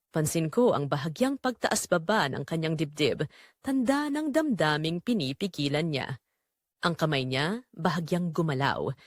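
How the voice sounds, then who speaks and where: Expressive low-voiced Filipino woman narrator. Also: dry recording, studio quality. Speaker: story narrator. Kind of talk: scripted first-person narration of a romantic drama.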